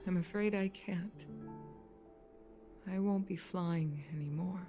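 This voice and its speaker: A calm, low-voiced retired pilot mother. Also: slow pace, faint music behind. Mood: quiet resolve. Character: composed older mother, retired sky pilot.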